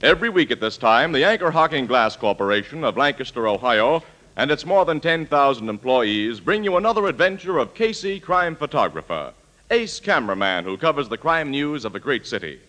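Animated vintage radio announcer, man. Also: mid-range voice, brisk, rough recording. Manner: polished, formal. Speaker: announcer and narrator. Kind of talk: 1940s radio announcing, scripted. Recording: old-time radio recording. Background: loud music.

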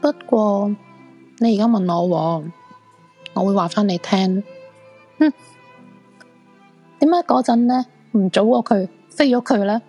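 A woman's voice: medium pitch, unhurried, long pauses, mellow, husky, soft background music. A woman with a Hong Kong Cantonese accent playing a world-weary, wistful and frank woman in a radio drama. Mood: reflective, bitter.

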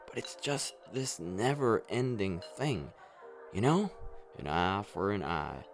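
Guy playing a washed up boozy superhero in a radio play, really deep voice, gloomy rambling philosopher, music going under him. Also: big pitch swings, slow pace, heavily acted. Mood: resigned, rambling. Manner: brooding, rambling, philosophical, sardonic. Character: weary, hard-drinking, despairing male superhero. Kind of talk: darkly comedic radio play acting.

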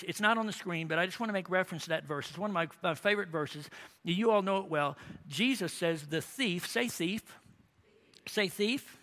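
A man preaching a sermon, telling humorous stories with animated emphasis. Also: sits high, big-room sound. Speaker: pastor.

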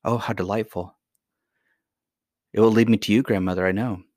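A man's voice: lowish voice, fairly even intonation, normal speed, a little mellow, no room sound, studio quality, natural voice. A man voicing an eager, polite young princess who speaks earnestly in a storybook reading. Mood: excited. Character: eager, polite little princess, curious and easily puzzled. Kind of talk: acted dialogue in a children's fairy tale reading.

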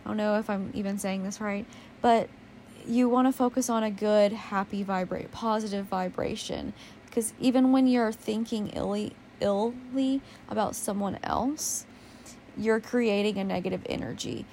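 A young woman hosting a casual solo podcast with a naturally low voice, talking in a candid, rambling way and swearing casually. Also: normal speed, a little mellow, a little hiss or hum. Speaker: podcast host.

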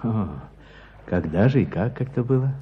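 A man's voice: fairly low pitch, animated, moderate pace, breathy edge. A man acting in a radio drama as a reflective writer out hunting, speaking lyrically and without hurry. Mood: curious. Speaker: narrator, a writer and hunter. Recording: dull sound, outdoor ambience noise.

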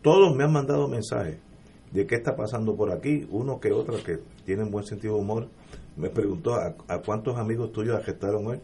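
A middle-aged Puerto Rican man on a political radio panel, speaking emphatically and building to forceful points. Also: fairly low pitch, a little fast, slight background noise. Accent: Puerto Rican Spanish.

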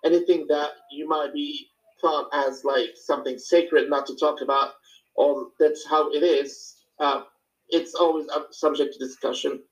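A man's voice: on the high side, steady tone, measured pace, poor audio. A man from Morocco with a Moroccan accent, speaking reflectively and hesitantly as he explains his personal experience in an informal talk to a small group.

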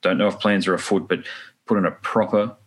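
Forties male interviewer, very low voice, blunt and profane. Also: fairly even intonation, fairly quick. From Australia. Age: 40s. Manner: blunt, swears freely, repeats words. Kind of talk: casual interview chat.

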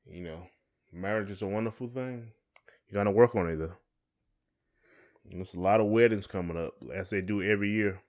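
A man's voice: fairly low pitch, a little fast, long pauses, darkish tone. A man co-hosting a podcast in casual conversation, talking in a folksy way and often repeating his phrases. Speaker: podcast co-host.

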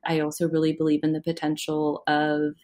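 Low-pitched excited woman guest. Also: a little fast. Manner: excited, chatty, laughs easily. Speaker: guest, business founder. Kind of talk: casual interview chat.

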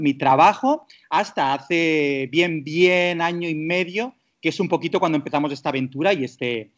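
A man with an accent from Spain speaks in a voice a little higher than most men's. He is a guest in a casual interview and often hesitates, circling back to rephrase what he says. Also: muffled recording. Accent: Spanish from Spain. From Barcelona area, Spain. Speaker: podcast guest.

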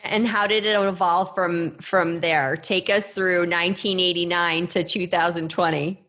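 A woman's voice: fairly low pitch, fairly even intonation, measured pace, fairly bright tone. A woman hosting a podcast, reading an upbeat scripted intro and then interviewing her guest with enthusiasm. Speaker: podcast host.

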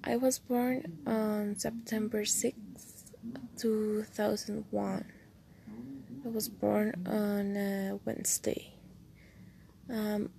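A young woman, hosting a casual personal podcast in a soft, often half-whispered and hesitant way. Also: mid-range voice, gravelly, a little hiss or hum. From Costa Rica. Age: late teens. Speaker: podcast host.

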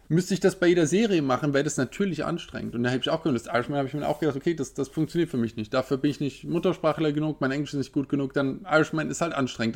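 A man in his thirties ranting in a casual, animated way, firing off rhetorical questions. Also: on the high side, gravelly. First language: German. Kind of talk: casual opinion monologue.

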